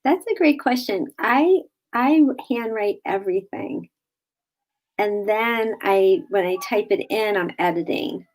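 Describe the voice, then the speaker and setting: A woman author guest on a video call, answering questions earnestly and haltingly. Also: medium pitch, poor audio. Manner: earnest, halting, many fillers and restarts. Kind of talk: casual interview answers.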